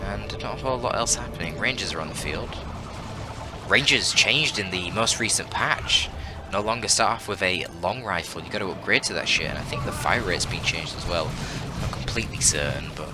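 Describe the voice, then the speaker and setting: Congested deep-voiced man casting a game. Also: fairly quick, music in the background. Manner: informal, sweary, rambling. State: congested, bunged-up voice. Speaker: esports match commentator. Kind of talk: casual game commentary with joking asides.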